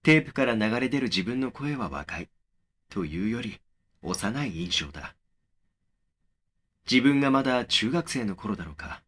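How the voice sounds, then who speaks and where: A man acting as a young male narrator of a horror audio drama, at a medium pitch. He recounts his own eerie memories in a measured, brooding, matter-of-fact way. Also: sing-song, fairly bright tone, slightly rough.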